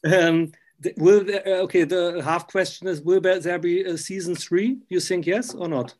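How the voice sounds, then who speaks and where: Higher-voiced German-accented male interviewer. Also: steady tone, darkish tone. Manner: hesitant, halting phrasing. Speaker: interviewer, journalist. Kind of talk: casual interview chat.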